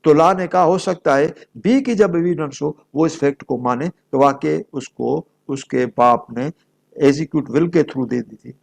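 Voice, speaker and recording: A man's voice: a little high, unhurried, gravelly, darkish tone. A man with a Pakistani accent giving an explanatory law lecture in a didactic way, posing questions and answering them as he works through examples. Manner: didactic, switches between Urdu and English, poses questions and answers them.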